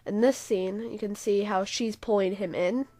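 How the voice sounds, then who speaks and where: A woman's voice: mid-range voice, steady tone, moderate pace, mellow, no echo. A woman talking casually and unscripted as she walks viewers through a video, rambling a little and often trailing off. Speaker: video creator explaining own roleplay series. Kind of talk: casual unscripted walkthrough commentary.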